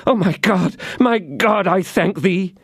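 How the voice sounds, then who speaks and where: A man's voice: high-pitched, slow, creaky, breathy edge, no room sound, studio quality, pitched above the performer's own voice, heavily acted. A man voicing a passionate young swordsman, urgent and anguished, in an audiobook reading. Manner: urgent, crying out.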